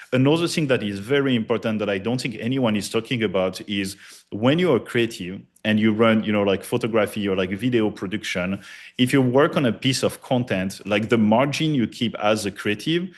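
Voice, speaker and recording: French guy w a lowish voice, hyping up a live talk, lots of "like" and "you know", swears a bit. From France. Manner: loose, hype-driven, heavy filler words and cursing. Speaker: conference presenter, studio founder. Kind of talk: casual live presentation.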